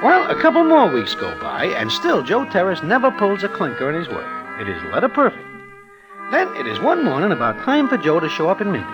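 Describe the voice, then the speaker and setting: Wildly swooping, high-pitched Broadway wise guy. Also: huge pitch swings, fairly quick, loud music behind the voice, low-quality recording. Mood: deadpan. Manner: formal slangy present-tense speech without contractions. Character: deadpan Broadway narrator, a streetwise man with formal slangy diction. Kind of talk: 1940s-50s radio drama acting.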